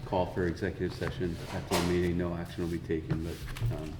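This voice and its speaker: A board member speaking casually at a town meeting. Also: male voice, sits low, level tone, quick pace, roomy sound, very noisy. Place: town hall meeting room.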